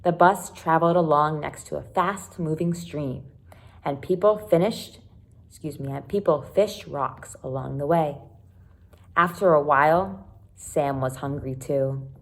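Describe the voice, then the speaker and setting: A woman's voice: low-pitched, measured pace, creaky. A woman reading a children's chapter book aloud like a teacher, expressively, with explanatory asides for young listeners. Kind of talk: read-aloud storytelling with short explanatory asides.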